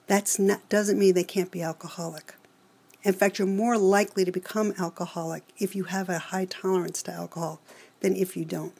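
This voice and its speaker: A woman's voice: fairly low pitch, steady tone, fairly quick, vocal fry, warm tone, slightly rough. A woman in her fifties with a New York accent, an alcohol counselor earnestly explaining things in an interview. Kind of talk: expert interview answers.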